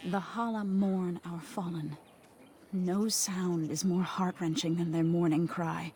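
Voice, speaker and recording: An actress playing a solemn elven herder in a video game, speaking in a measured, earnest, formal way. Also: female voice, fairly low pitch, fairly even intonation, dark tone. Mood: solemn, concerned. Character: solemn elven woman tending the clan's sacred herd animals. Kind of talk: video game dialogue acting.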